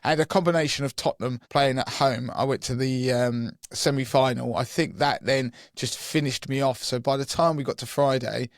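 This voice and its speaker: A hoarse, croaky man, co-hosting a finance podcast and explaining things step by step in a chatty way. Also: mid-range voice, steady tone, moderate pace, few pauses, no room sound, clean studio sound. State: hoarse, croaky from losing his voice. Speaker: podcast co-host, personal finance expert.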